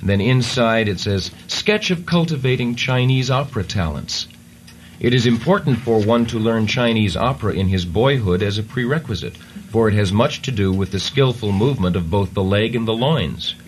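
A man with a fairly low voice reads a stiff, formal text aloud in a deadpan manner. He chuckles at the wording between lines. A faint hiss lies under the old radio tape. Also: normal speed. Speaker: comedy radio performer. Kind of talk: improvised radio comedy, reading aloud.

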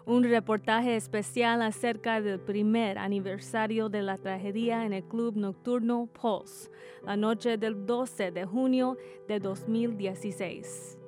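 A woman's voice: fairly high pitch, fairly even intonation, takes it slowly, a little mellow, soft background music. Tex-Mex Spanish woman, public radio host. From Texas, US. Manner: scripted, careful, apologetic about her Spanish. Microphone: studio microphone.

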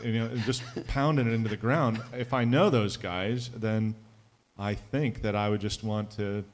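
A man, a pastor, answering a question on a conference panel in a thoughtful, measured way with some halting restarts. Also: lowish voice, fairly even intonation.

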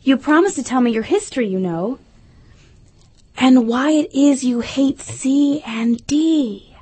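High-pitched, eager little storybook girl. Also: animated, unhurried, warm tone, slightly rough, full character voice. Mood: curious, polite. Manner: earnest, humble, eager. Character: polite, eager, curious little girl, easily flustered.

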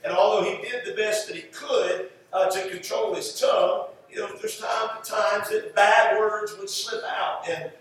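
High-voiced male preacher in an echoey room. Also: steady tone, a little slow, hardly any pauses, a bit bright. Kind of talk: conversational sermon, Bible teaching. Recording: roomy echo, distant mic, faint noise.

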